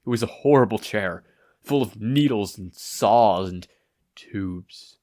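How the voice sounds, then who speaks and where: A man acts out a traumatized older hero from a story. He speaks in dramatic, halting bursts, shaky and full of wounded dread. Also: lowish voice, darkish tone. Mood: shaken, fearful.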